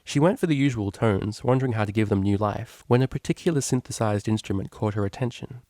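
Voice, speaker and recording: A man's voice: lowish voice, fast, dark tone, no room sound, clean studio sound. A man reading a story aloud as narrator, in scripted prose. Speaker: narrator, fan fiction reader.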